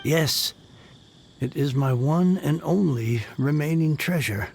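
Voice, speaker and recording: Gravelly, grouchy book collector. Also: male voice, a little high, slow, a little mellow, a little hiss or hum. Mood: reverent, wistful. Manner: theatrically self-pitying, curt, ranting. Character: grouchy, bitter bankrupt book collector, a village recluse. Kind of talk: video game voice acting.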